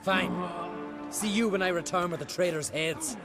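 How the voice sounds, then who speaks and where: Casting: a hotheaded young chieftain's son in a fantasy game, blunt, proud, fairly high-pitched. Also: male voice, normal speed. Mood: defiant. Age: young adult. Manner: defiant, blunt. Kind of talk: dramatic video game acting. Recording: music behind the voice.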